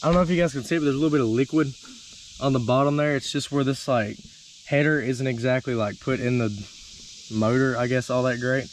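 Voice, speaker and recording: Man, hoarse from a cold, mid-pitched, rambling vlog talk, faint outdoor insects behind. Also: steady tone, fast, slight background noise, poor audio. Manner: rambling, lots of uh and um. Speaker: dirt bike vlogger. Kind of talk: casual vlog walkthrough.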